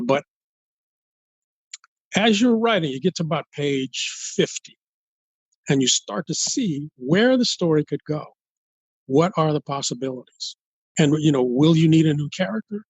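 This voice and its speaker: An older man from Los Angeles in an interview as a guest author, speaking in a halting, thoughtful way and building his points step by step. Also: a little high, no echo, highs cut off. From United States, Los Angeles. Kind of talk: interview conversation.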